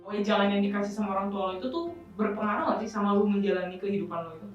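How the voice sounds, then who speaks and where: Seorang perempuan berlogat yang mengajukan pertanyaan wawancara dengan santai. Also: female voice, mid-range voice, muffled recording, faint music behind. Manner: quick, casual questioning. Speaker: interviewer. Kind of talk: asking interview questions. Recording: very echoey room, distant mic.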